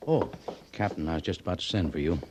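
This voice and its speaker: An actor playing a harried, authoritative American army major in an old-time radio western, on a muffled old recording. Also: male voice, low voice, quick pace, darkish tone, a little hiss or hum, close to an everyday voice. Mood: hushed, urgent. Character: harried, defensive army major commanding a frontier post.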